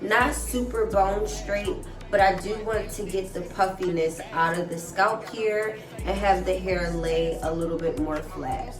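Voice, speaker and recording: A woman's voice: on the low side, unhurried, hardly any pauses, recorded from a distance. Chatty woman vlogger, bit stuffy from a cold, roomy sound, music going behind. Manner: chatty and upbeat, often says "guys" and "like". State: slightly stuffy nose from a cold. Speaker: hair and wig vlogger. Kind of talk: casual tutorial chat to viewers. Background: background music.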